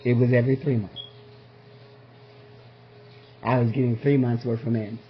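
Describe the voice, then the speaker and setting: A woman's voice: extremely low pitch, little pitch movement, moderate pace, slight background noise. A woman speaking as a patient on a phone call, pressing her questions in an insistent, demanding, confrontational way and often repeating them. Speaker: patient calling a medical provider. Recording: muffled lo-fi phone call recording.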